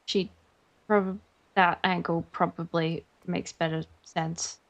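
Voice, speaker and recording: A woman's voice: on the low side, fairly even intonation, slow pace. A young Australian woman chatting casually while streaming a game, rambling with lots of fillers.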